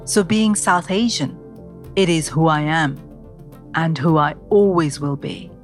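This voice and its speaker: Woman, podcast host, from india, lowish voice, measured scripted delivery, faint music underneath. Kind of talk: scripted podcast introduction and interview.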